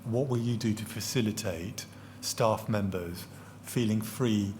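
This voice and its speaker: A man, questioning a witness at a public inquiry in a measured, precise and courteous way. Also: on the low side, darkish tone, a little hiss or hum. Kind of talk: formal questioning. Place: large public hearing hall.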